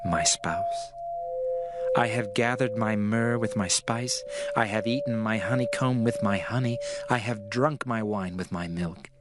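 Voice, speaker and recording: Ardent male lover, acted by a man in scripture drama: low-pitched, tender, solemn, poetic, under prominent music. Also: unhurried, lightly acted. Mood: joyful, inviting.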